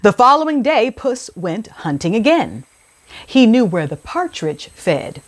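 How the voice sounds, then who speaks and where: A woman hosting a children's read-aloud, speaking in a deliberate, clearly phrased storytelling style. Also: fairly high pitch, sing-song.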